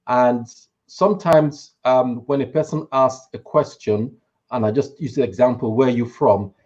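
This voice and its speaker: Slightly muffled audio of a man with a mid-range voice. He talks in a measured, careful way on a panel, pausing and restarting as he explains. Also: medium pitch, darkish tone. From Nigeria. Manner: measured, hesitant, careful phrasing. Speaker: church leader on a panel. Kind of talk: panel discussion, measured anecdote.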